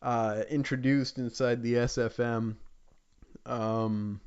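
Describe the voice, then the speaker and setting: A man's voice: medium pitch, fairly even intonation, takes it slowly, a little mellow, clean tone. A man co-hosting a podcast in casual gaming chat, heard on a dull, lo-fi recording. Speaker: podcast co-host.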